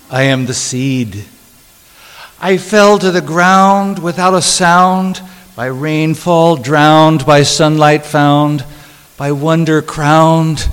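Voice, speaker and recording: A man with a somewhat high voice gives a poetry reading. He speaks slowly and deliberately, letting phrases hang with dramatic pauses. The sound carries the long reverb of a hall. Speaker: poet reading own work. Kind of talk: poetry reading with spoken asides.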